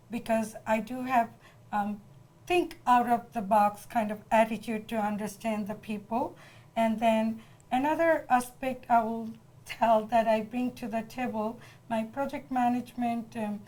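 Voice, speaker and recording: A woman with a Bangladeshi accent answers interview questions as a political candidate, speaking earnestly and a little haltingly. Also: a little high, darkish tone, poor audio. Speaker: city council candidate being interviewed.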